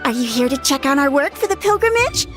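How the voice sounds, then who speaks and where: A woman's voice: high voice, sing-song, fast talker. A woman playing an eager, respectful young female villager in a video game. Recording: faint game music behind the voice.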